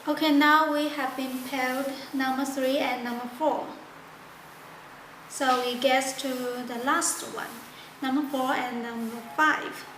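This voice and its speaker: A high-voiced woman demonstrates a product step by step. She speaks slowly in halting, simple non-native phrasing. The recording has clear room echo. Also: steady tone. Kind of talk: step-by-step tutorial narration. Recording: echoey room with loud background noise.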